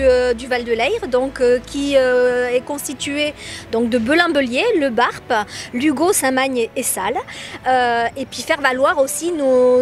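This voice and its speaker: High-voiced woman, halting interview delivery. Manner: hesitant, halting phrasing with repeated tags. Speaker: tourist office representative. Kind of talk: spontaneous promotional interview answers.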